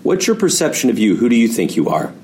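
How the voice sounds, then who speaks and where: A man's voice: mid-range voice, wildly swooping pitch, fast talker, darkish tone, slight background noise. A man hosting an interview who asks long, carefully framed questions. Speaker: interview host.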